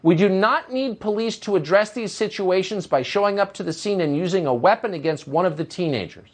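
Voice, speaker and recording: Female commentator, husky, very animated pitch swings, mocking sarcasm. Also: a little fast.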